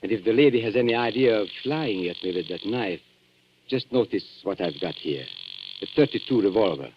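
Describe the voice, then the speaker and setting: An actor playing a suave, menacing antique dealer with formal, sardonic politeness in a 1940s radio thriller. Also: male voice, on the low side, lively intonation, brisk, background noise. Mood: composed, threatening. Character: suave, menacing male antique dealer toying with guilty visitors. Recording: dull 1940s radio broadcast.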